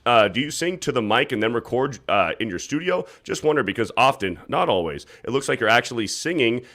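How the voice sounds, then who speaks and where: A man's voice: medium pitch, sing-song, fairly quick, fairly bright tone. A man hosting a casual livestream, reading super chats aloud and chatting loosely with a guest, with some swearing. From United States. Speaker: livestream host.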